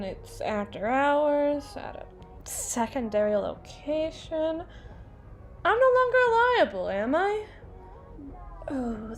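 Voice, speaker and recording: A woman's voice: a little high, exaggerated voice. A woman performing an ASMR roleplay as a drunken, teasing, domineering female boss, rambling and stumbling over her words. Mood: nervous, pleading.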